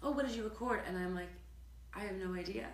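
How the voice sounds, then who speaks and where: A woman guest on a casual live stream, speaking in a hoarse, husky voice, chatty and self-deprecating. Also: mid-range voice, quick pace. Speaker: singer guest. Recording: roomy with faint noise.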